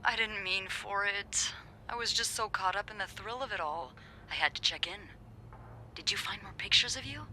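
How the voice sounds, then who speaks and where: A woman's voice: medium pitch, moderate pace, sharp tone, a little hiss or hum, rough recording. A woman playing a young hotel receptionist, dry, teasing and knowing. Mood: wry. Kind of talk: video game voice acting.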